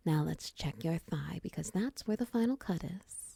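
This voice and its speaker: A woman playing a friendly, teasing half-spider monster in an intimate audio roleplay, soft-spoken, breathy and often close to a whisper. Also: lowish voice, expressive, dry recording, heavily acted. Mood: caring, reassuring. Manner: gentle, teasing, slow murmurs with soft chuckles.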